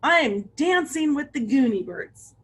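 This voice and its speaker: An excited little penguin from a storybook, acted out by a woman, pitched high and loud. The mischievous youngster shows off with proud exclamations. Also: a little slow, a little hiss or hum. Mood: gleeful showing off. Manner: exclaiming, showing off. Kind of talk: picture-book read-aloud character voice.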